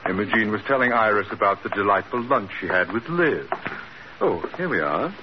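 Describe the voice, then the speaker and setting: A man acting an animated, blustery bank boss. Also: fairly low pitch, a little fast, close to an everyday voice. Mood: falsely courteous. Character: blustery bank president, falsely courteous then explosive boss. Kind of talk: 1940s radio comedy acting. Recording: noisy, dull old radio recording.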